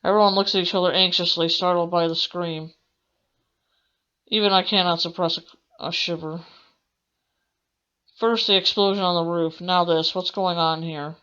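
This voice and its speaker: A woman's voice: on the low side, steady tone, moderate pace, long pauses, a bit bright, clean tone, lo-fi sound. A woman gamer reading visual novel narration aloud, with casual asides of her own. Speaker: gamer doing a let's play. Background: game music.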